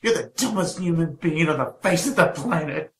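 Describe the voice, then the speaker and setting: A man's voice: a little high, animated, moderate pace, reverberant. An actor playing a battered, bitter, defiant man in a radio drama, sneering and strained. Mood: scornful.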